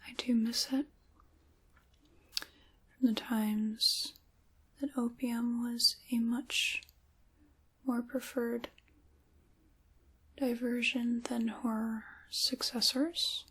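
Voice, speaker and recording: Woman as a slow, whispering dark witch. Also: a little high, level tone, heavily acted, poor audio. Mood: wistful, reminiscing. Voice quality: breathy whisper. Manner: slow, deliberate, theatrical, archaic phrasing. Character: ancient dark witch, sly, menacing, fond of chaos. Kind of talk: ASMR roleplay, soft speaking and whispering.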